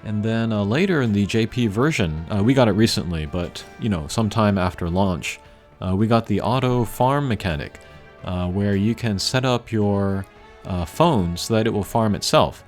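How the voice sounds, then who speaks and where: A man giving casual, unscripted gameplay commentary in a rambling, low-key way with frequent hesitant pauses. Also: animated. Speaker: game video creator. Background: video game music.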